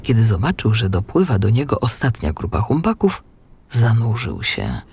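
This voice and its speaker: A man narrating a children's audiobook in an expressive, scripted storytelling style. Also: on the low side, moderate pace, vocal fry, a little hiss or hum.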